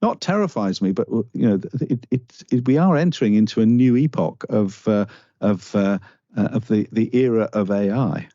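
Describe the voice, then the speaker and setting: Halting Northern Irish man, low voice. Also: expressive, mellow, no echo. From Northern Ireland. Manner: halting, restarts often, earnest. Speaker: guest. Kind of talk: conversational interview. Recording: dull lo-fi dry sound.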